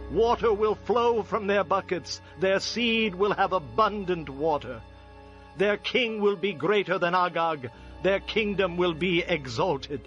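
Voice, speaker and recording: An actor playing a solemn, resolute prophet who declaims oracles in a dramatized audio scripture. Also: male voice, high-pitched, fairly bright tone. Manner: declamatory, measured poetic delivery. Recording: dull lo-fi audio with music. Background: music.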